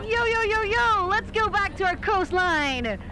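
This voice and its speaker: Very high-pitched hyped radio DJ. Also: male voice, animated, a little slow, heavily acted. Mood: hyped, upbeat. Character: hyped-up radio DJ reading song dedications. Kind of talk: radio DJ patter.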